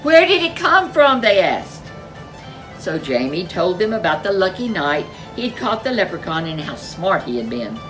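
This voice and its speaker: A woman reading a folk tale aloud as a storyteller, expressive and animated. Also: on the low side, moderate pace, soft background music, low-quality recording. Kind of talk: storybook read-aloud narration.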